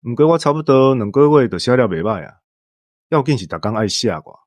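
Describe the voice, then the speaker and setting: A man's voice: medium pitch, expressive, brisk, darkish tone, no echo. Taiwanese man explaining casually, dry sound. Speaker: co-host. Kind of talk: casual explanatory podcast chat.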